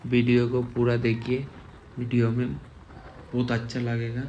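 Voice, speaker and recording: Guy motovlogging, pretty monotone, says stuff over and over, bit of road noise. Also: mid-range voice, unhurried, highs cut off. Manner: repetitive, exclaiming at what he sees. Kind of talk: casual running commentary while riding. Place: outdoors on a moving two-wheeler. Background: traffic and outdoor ambience.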